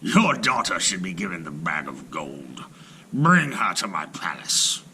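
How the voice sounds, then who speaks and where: A man's voice: deep voice, sing-song, unhurried, sharp tone, a little hiss or hum, pitched below the performer's own voice, full character voice. A man voicing a mean, bad-tempered Sultan who gives commands in a growling manner in a storybook folktale. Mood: commanding.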